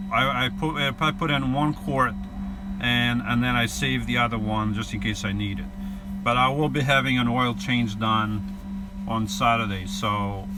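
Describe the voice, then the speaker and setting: Slow-talking man in his forties. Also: mid-range voice. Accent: New York. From New York and New Jersey. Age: 40s. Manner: unhurried and easygoing, with many fillers and restarts. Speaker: vlogger. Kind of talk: live stream, reading viewer questions. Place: inside a van. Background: inverter hum.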